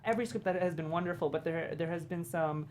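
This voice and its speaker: A woman talks quickly in a lowish voice on a casual panel, chatty and full of compliments. Faint room noise sits behind her. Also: darkish tone. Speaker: panelist and actor. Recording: light background room noise.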